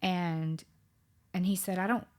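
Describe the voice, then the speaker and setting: Podcast host, woman in her thirties, low-pitched, reflective and meandering. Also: fairly even intonation, a little slow, a little mellow. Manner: reflective, rambling with many fillers and restarts. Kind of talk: casual chat.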